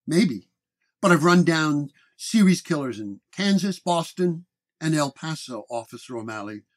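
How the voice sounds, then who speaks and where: Man playing flat, self-assured 1930s detective. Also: a little high. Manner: flat, deliberate. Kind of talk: audio detective story.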